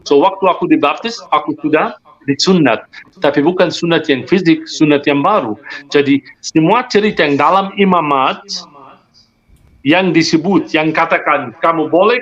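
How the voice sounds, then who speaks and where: A man's voice: a little high, a bit bright. Earnest man with muffled lo-fi sound. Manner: earnest, explanatory, rhetorical questions, mixes in English words. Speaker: guest speaker. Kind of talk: conversational religious discussion.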